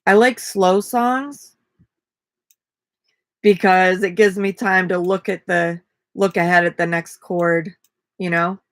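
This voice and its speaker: Raspy gravelly lady chatting slow on a webcam in her camper, low-ish voice, dry sound. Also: dry recording. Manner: relaxed, rambling, self-deprecating. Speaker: vlogger and ukulele player. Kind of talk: casual live-stream chat. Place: small camper interior. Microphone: webcam at desk.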